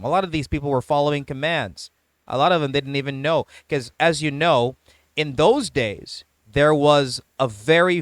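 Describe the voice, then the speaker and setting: A man hosts a casual debate, laying out his points in a steady, measured way. His voice sits at an average pitch. The recording is dry and close, with almost no room sound. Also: no echo.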